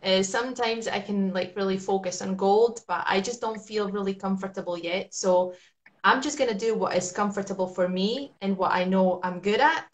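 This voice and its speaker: A woman's voice: mid-range voice, normal speed. A woman from Latvia with a Latvian accent, talking as a forex trader in a casual video-call interview, chatty with lots of fillers. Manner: chatty, laughs often, many fillers. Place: small indoor room at night. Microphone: video call webcam.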